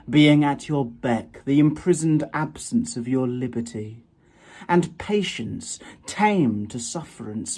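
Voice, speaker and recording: A man giving a dramatic recitation of old sonnets in a measured, theatrical way with deliberate pauses. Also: mid-range voice, darkish tone. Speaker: poetry performer. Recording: music behind the voice. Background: music.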